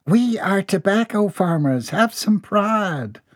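A man voicing a fussy farm wife and mother, shrill and scolding. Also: animated, slow pace, full character voice.

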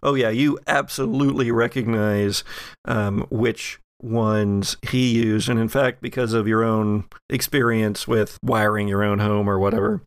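A man narrating a horror tabletop game as game master, phrasing the scenes deliberately to his players. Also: lowish voice, fairly even intonation, clear, resonant tone, no echo, clean studio sound.

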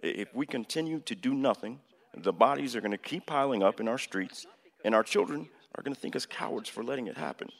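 An actor in a radio play voicing an earnest, middle-aged American president who speaks deliberately, with hesitations and a lecturing manner. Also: male voice, medium pitch, expressive, gravelly. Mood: grave, firm. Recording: long hall reverb.

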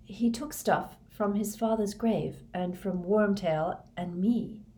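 A woman's voice: mid-range voice, takes it slowly, warm tone, pitched above the performer's own voice, lightly acted. A woman reading a storybook, voicing a frightened, bewildered teenage wizard boy in a breathless, insistent, earnest way. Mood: dazed.

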